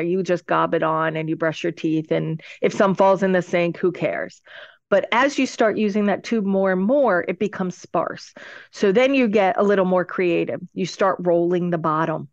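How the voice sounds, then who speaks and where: A woman's voice: lowish voice, dry recording. A woman speaking as a podcast guest and business adviser, explaining conversationally and emphatically, step by step. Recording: dull lo-fi audio.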